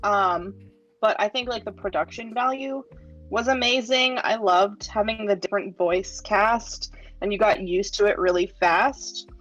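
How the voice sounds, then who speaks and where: A woman's voice: mid-range voice, measured pace, fairly bright tone. A woman giving a casual review as a podcast panelist, conversational and saying "like" a lot. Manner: conversational, heavy use of "like". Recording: slightly dull lo-fi audio, music behind. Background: background music.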